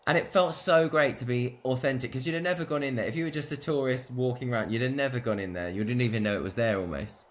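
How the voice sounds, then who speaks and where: A man's voice: medium pitch, quick pace, few pauses, slight background noise. A man chatting casually as co-host, telling stories with frequent laughs. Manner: chatty storyteller, laughs often. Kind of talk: casual chat.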